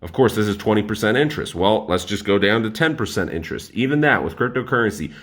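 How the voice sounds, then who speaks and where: A young man in his twenties with a lowish voice, energetic and animated as he speaks straight to his viewers. He talks in a casual, upbeat explainer style, pushing the listener along. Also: fast talker. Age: 20s. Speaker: personal finance YouTuber.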